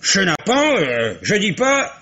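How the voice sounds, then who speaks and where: A sly old village rogue boasts and drops insinuations. The voice is husky and breathy. He talks like an old man who enjoys his own secrets. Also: sits high, wildly swooping pitch, slow pace, bright, forceful tone, heavily acted. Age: older. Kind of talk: 1950s radio drama acting.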